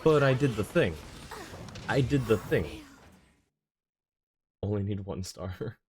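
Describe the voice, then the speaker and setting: A man's voice: on the low side, animated, slow pace, a little mellow, slight background noise. A man streaming a video game, chatting casually and muttering reactions as he plays. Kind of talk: casual live gameplay commentary. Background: game music.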